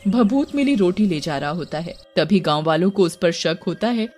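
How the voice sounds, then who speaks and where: Male narrator, high-pitched, scripted horror storytelling, light music bed. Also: lively intonation, normal speed, a little mellow.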